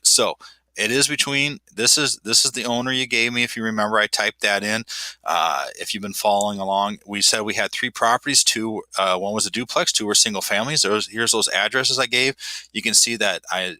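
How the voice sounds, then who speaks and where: A man with a nasal voice talks a client through the steps on screen in a loose, self-deprecating way. He restarts his sentences often and fills the gaps with "uh" and "um." The voice is fairly low but very bright, recorded close on a dry voice-over mic. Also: fairly quick, dry recording, rough recording. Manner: casual, self-deprecating, many fillers and restarts. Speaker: property manager guiding a client. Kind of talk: screen walkthrough tutorial.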